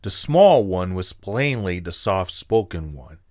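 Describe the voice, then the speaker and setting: A man's voice: low-pitched, very animated, slow, a little mellow. A man narrating a scripted fan audio drama.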